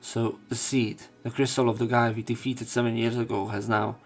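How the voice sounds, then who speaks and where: A man reading visual novel lines aloud as let's play narration, halting at times and correcting himself. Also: mid-range voice, little pitch movement. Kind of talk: read-aloud narration and commentary of game dialogue. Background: game music.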